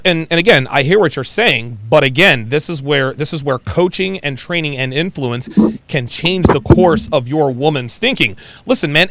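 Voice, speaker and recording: A man hosting a call-in advice show, talking in an emphatic, animated way and often repeating himself. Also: on the high side, no echo. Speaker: show host.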